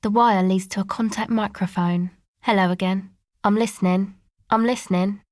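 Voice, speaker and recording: A woman playing a laid-back, sardonic young woman in a video game, speaking in a casual, slangy, deadpan way. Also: mid-range voice, normal speed, no echo, clean studio sound, natural voice. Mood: casual, dry. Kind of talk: video game character dialogue.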